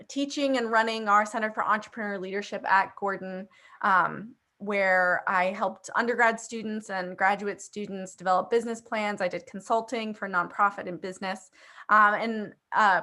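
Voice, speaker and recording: A woman's voice: medium pitch, pushed and bright. A woman in her thirties, from Afghanistan and Pakistan, hosting a video call in an upbeat, conversational way with many fillers. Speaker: host presenting a church software platform. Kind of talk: casual video call presentation.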